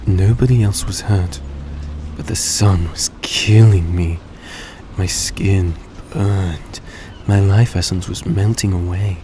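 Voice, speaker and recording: A man playing a suave, tender centuries-old vampire in a roleplay audio drama, speaking in a soft, coaxing, genteel way to the listener. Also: sits low, takes it slowly. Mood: earnest, grateful. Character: suave, gracious centuries-old male vampire, young-looking, tender and grateful. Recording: steady background noise, vehicle-like ambience.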